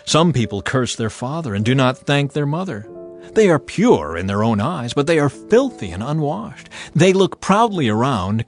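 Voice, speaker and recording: A man giving a dramatized, measured and emphatic scripture narration as an audio Bible reader. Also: medium pitch. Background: background music.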